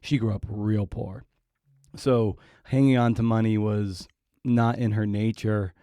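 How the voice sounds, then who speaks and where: A middle-aged man hosting a casual interview, feeling his way through his phrases in a halting manner. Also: lowish voice, fairly even intonation, warm tone, no echo, clean studio sound. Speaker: podcast host, interviewer.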